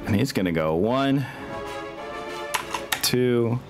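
A man's voice: mid-range voice, lively intonation, slow. A man talking casually as game master of a tabletop wargame. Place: indoor room, online group game stream. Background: music.

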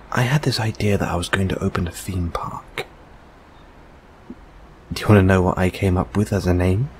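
A man playing a gentle, reassuring boyfriend in an intimate comfort audio roleplay, speaking softly and hesitantly. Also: fairly low pitch, a little hiss or hum. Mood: tender, wistful. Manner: soft, hesitant, soothing, unhurried.

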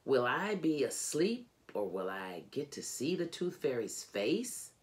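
A woman voicing a curious, excited young schoolboy who muses aloud in questions, as in a storybook. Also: very deep voice, a little slow, heavily acted. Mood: wondering. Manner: musing, questioning.

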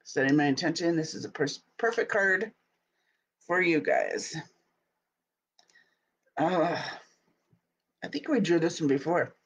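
A woman in her thirties hosting a casual live video from her home office, sounding stuffy and scratchy from a cold, chatty and quick to laugh. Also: low-pitched, lively intonation, breathy edge. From Utah. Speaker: host. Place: home office, small room indoors. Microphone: webcam at desk.

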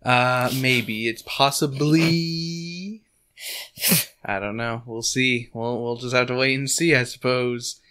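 Slightly hoarse man, bright voice. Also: mid-range voice, fairly even intonation, slow. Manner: laughing often, rambling with lots of "like". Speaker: podcast host. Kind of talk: casual chat and book recap. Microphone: desk condenser mic.

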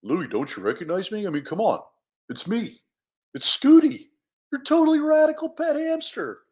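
A man's voice: a little high, very animated, a little fast, a little mellow. A man voicing a huge, goofy, boastful talking bear who was a pet hamster, using laid-back dude slang for a children's storybook. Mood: eager. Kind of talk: animated children's storybook read-aloud.

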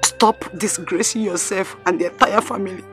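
A woman's voice: mid-range voice, fairly even intonation, normal speed, fairly bright tone, soft background music, lightly acted. A woman playing a mother who scolds and pleads with her wayward daughter. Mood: scolding. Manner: scolding, pleading, near tears.

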